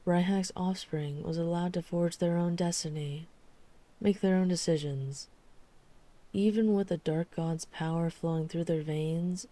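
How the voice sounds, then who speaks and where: A dry-witted vampire hunter played by a woman from an urban fantasy audio roleplay. Her voice is very low for a woman and softly dark. She tells things deliberately, like someone guarded who is confiding in a friend. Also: lightly acted. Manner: measured, deliberate storytelling with dry asides.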